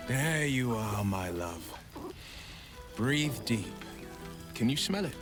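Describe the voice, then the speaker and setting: A man's voice: mid-range voice, expressive, slow pace, over music. An actor playing a tender, devoted male lover in a fantasy video game, speaking in an intimate, romantic way. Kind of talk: video game cutscene acting.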